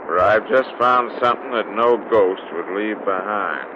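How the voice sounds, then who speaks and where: Very low male actor's voice, dogged detective, folksy, deferential, vintage radio drama, muffled hissy recording. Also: moderate pace, lightly acted. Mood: earnest, stubborn. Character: dogged, plain-spoken American police detective Ryan with hunches. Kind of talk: 1930s radio drama acting. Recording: muffled, noisy old radio broadcast recording.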